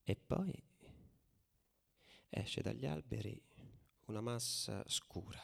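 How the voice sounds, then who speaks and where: A man's voice: lowish voice, slow, vocal fry, heavily acted. A man playing a furious, worn-out young football ultra in a dramatic radio theatre monologue. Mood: grim. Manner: swinging from hushed telling to shouted frenzy. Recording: drum music behind the voice.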